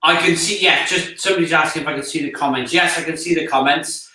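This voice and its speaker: A man with a bright, forceful voice reads out viewer comments on a live stream. He talks hesitantly with plenty of ums, and the room around him is very echoey. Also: fairly high pitch, fast talker. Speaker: stream host reading comments. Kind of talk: casual live-stream chat.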